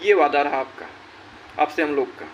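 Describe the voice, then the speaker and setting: A young man delivers a rallying address to job candidates, urgent and insistent, repeating his points for weight. His voice sits a little high. The sound is dull and lo-fi with a faint hiss. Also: moderate pace. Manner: urgent, exhorting, repeats phrases for emphasis. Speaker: YouTube channel host.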